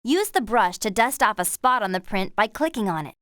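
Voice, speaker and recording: A woman's voice: medium pitch, lively intonation, a little fast, fairly bright tone, dry recording, studio quality, natural voice. An actress playing a friendly young woman who gives supportive instructions in a horse-riding video game. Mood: supportive, instructive. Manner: clear instructional delivery, supportive.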